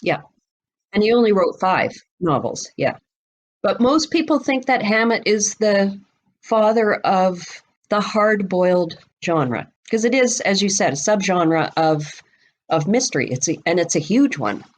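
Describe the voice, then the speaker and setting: A woman's voice: mid-range voice, lively intonation, unhurried. A woman speaking as an expert guest in a podcast interview, explaining things in an emphatic, conversational way.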